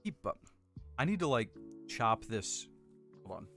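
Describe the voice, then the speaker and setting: An American man with a deep voice chatting casually and rambling a little as he hosts a livestream on a close desk microphone. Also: big pitch swings, measured pace, fairly bright tone, soft background music. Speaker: livestream host building model kits. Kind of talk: casual chat with live viewers. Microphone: close desk condenser microphone.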